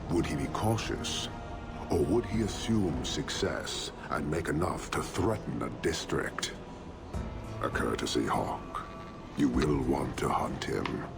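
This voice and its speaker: Very deep, slow horned warlord. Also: male voice, animated, full character voice. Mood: grave, cold. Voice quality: deep, gravelly. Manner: slow, measured, terse, formal. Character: stern, imposing Qunari warlord, horned giant, coldly courteous. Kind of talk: fantasy video game voice acting. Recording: game music behind the voice.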